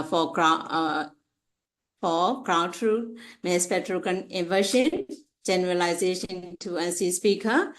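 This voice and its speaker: Female academic presenter, lowish pitch, hesitant stop-and-start delivery. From Myanmar. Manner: halting, hesitant, with frequent pauses and repeated phrases. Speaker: researcher presenting at a conference.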